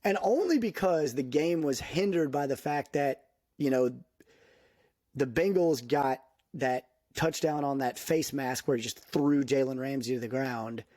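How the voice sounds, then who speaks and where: A man giving his opinions in a casual podcast chat, animated, laughing often and saying "dude" a lot. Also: mid-range voice, unhurried, dry recording, studio quality. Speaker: podcast guest.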